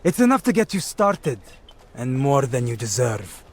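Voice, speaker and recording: An actor playing a bitter, disdainful keeper of an assassins' bureau in a video game, formal and scornful in manner. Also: male voice, mid-range voice, sing-song, moderate pace. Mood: grudging, instructive.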